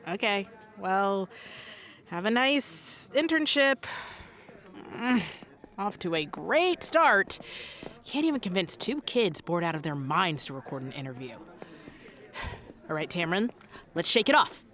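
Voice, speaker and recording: A woman's voice: mid-range voice, expressive, slow, long pauses, a bit bright. A woman playing an eager, awkward young radio reporter in an audio comedy, chatty and earnest. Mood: deflated. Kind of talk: comedy audio drama acting.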